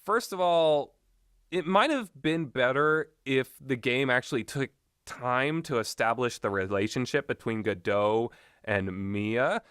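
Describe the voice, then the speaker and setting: A man giving rambling, opinionated game commentary in a blunt, exasperated, sweary and halting manner. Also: medium pitch, fairly bright tone, clean tone, no echo, clean studio sound. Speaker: video game reviewer.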